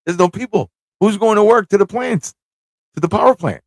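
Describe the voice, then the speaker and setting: A man's voice: sits high, animated, fairly quick, creaky, no room sound. A man hosting a livestream fan chat, talking in a rambling, informal way with frequent rhetorical tag questions. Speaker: livestream talk show host.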